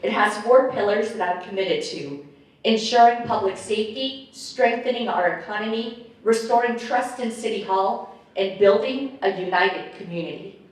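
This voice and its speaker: A woman in her late thirties from Guatemala, giving a measured, rehearsed campaign speech at a forum. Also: medium pitch. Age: late 30s. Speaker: mayoral candidate. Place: large echoey hall with audience. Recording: echoey hall, speaker far from mic.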